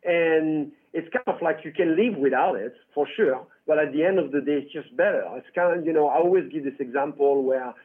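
A man's voice: fairly high pitch, brisk, few pauses, husky. A man from France with a French accent, a tech founder chatting through an interview with lots of "you know". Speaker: tech company founder, guest. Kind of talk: casual interview. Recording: tinny phone-like line.

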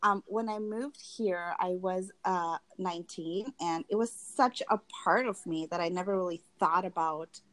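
A woman's voice: on the low side, normal speed, hardly any pauses, fairly bright tone, no echo. A young woman from Tanzania, a guest in an interview, talking through her own experiences in a friendly, reflective way with many fillers. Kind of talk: candid personal interview.

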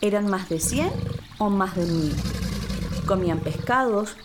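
A woman narrating a scripted children's story in an expressive, theatrical way. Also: medium pitch, unhurried, background noise.

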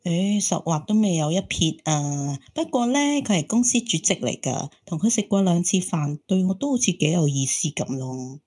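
A woman's voice: fairly low pitch, expressive, normal speed, hardly any pauses, warm tone, breathy edge. An actress playing a hopeful, romantic single woman in her thirties in an audio drama, teasing and exclaiming. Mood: hopeful, defensive. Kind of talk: Cantonese audio drama acting.

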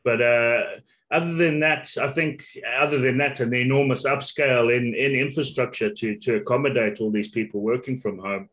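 A man's voice: medium pitch, moderate pace, a bit bright. Chatty man from Zimbabwe on a video call. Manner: chatty, laughs often, many restarts. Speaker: podcast guest, business owner. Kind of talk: casual conversation over a video call.

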